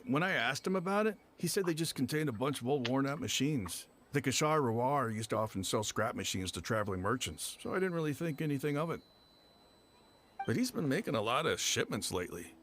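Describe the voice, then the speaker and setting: A man's voice: medium pitch, a bit bright, a little hiss or hum, natural voice. A man voicing an offhand, chatty townsman in a game, speaking over faint music. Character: offhand male townsman, gossipy and shrugging. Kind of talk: game character dialogue.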